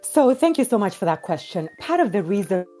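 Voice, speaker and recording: A woman's voice: mid-range voice, big pitch swings, brisk, dark tone. A woman from Kenya speaking as a panelist, explaining things in an articulate, earnest way. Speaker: podcast host, panelist. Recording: live audio-app chat, faint music.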